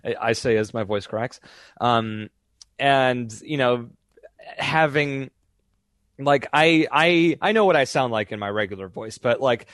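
A man's voice: mid-range voice, dry recording. A young man in casual interview chat about voice acting, rambling with many fillers and restarts. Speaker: voice actor guest.

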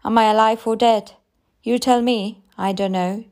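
A woman's voice: on the high side, fairly even intonation, moderate pace, a little mellow, voice pushed up, lightly acted. A woman voicing a crazed old woman in a derelict garden, who talks in eerie, rambling, broken phrases.

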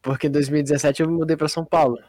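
A man's voice: fairly high pitch, little pitch movement, rapid-fire, a little mellow. A young man from Fortaleza hosting a casual livestream podcast, playful and slangy. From Fortaleza, Brazil. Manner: playful, slangy, calls people "family". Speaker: podcast host.

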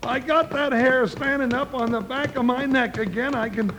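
An actor playing a jittery, blustering comic cowboy sidekick with an American Western drawl in an old-time radio western. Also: male voice, extremely high pitch, moderate pace. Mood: nervous. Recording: noisy old radio recording with clicks.

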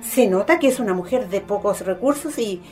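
A woman playing a kindly, gently intrigued mother in a radio drama. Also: mid-range voice, expressive, brisk, faint music behind, natural voice. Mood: intrigued, confiding.

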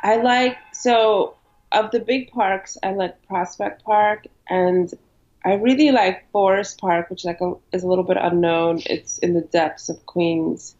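A woman in her thirties, a podcast guest who grew up in New York, talking in a relaxed way with frequent ums. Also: mid-range voice, unhurried. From born in Ukraine, raised in New York. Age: 30s. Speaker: interview guest. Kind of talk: casual interview chat. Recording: dull lo-fi audio.

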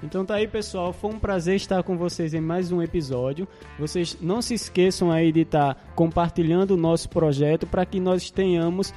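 A man's voice: on the high side, dark tone. A man with a Northeastern Brazilian accent hosting an educational podcast, addressing listeners directly in a casual, wordy way. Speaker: podcast host. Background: music.

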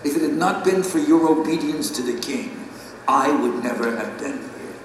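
An actor playing a gentle, reverent guide in a heavenly kingdom, speaking formally in an audio drama. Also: male voice, a little high, close to an everyday voice. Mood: earnest gratitude. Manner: solemn, measured, formal. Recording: echoey great hall, distant, faint noise.

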